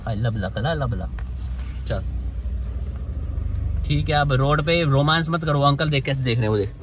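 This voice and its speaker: A man's voice: medium pitch, moderate pace. A young man vlogging from a car, pleading and teasing, breaking into laughter now and then. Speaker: prank vlogger. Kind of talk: casual vlog chat. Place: inside a parked car, outdoors on a street. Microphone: camera mounted on the dashboard. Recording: noisy car interior with street noise.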